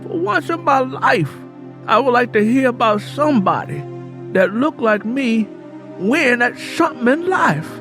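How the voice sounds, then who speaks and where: A man's voice: extremely high pitch, lively intonation, measured pace, music in the background, voice pushed up, full character voice. A man voicing a weary, embittered aging sharecropper in an audio story, speaking in an impassioned, coarse way.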